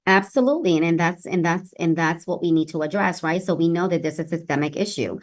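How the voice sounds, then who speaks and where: A woman's voice: fairly low pitch, fast talker. A woman speaking earnestly and emphatically as a guest in an interview about her work as a public official. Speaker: city health director, interview guest.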